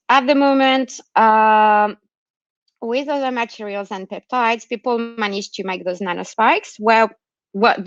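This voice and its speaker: A woman's voice: medium pitch, fairly bright tone, no room sound. A woman researcher with a non-Australian accent giving an explanatory academic talk online, often checking understanding with short tags. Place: remote online talk during lockdown. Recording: muffled lo-fi online call audio.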